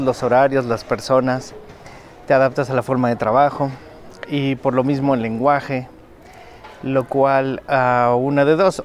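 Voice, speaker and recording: A man with a mid-range voice answers as a documentary interviewee. His phrasing is halting and measured, and a little ambient noise sits behind him. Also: mid-range voice. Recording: faint background ambient noise.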